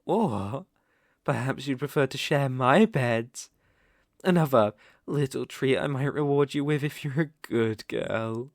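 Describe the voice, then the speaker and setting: A man's voice: mid-range voice, a little slow, vocal fry, no echo, studio quality. A British man playing a suave, possessive vampire count in an intimate ASMR roleplay, teasing and commanding in theatrical, old-fashioned phrasing. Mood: seductive, smug.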